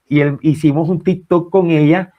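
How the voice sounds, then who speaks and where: A man's voice: on the high side, steady tone, normal speed, dull audio. A man from Colombia with a Caribbean accent, answering in an interview as a writer, emphatic and repeating phrases for effect.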